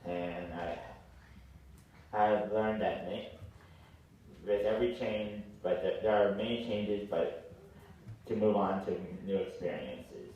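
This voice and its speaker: A young man of graduating age gives a short speech in a slightly deep voice. He halts often, restarting words and repeating phrases. The sound is very echoey and picked up from a distance, with a little noise. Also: steady tone, warm tone, muffled recording. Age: late teens, young adult. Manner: halting, stumbles over words and repeats phrases. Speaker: graduating senior giving a speech. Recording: very echoey hall, distant mic, faint noise.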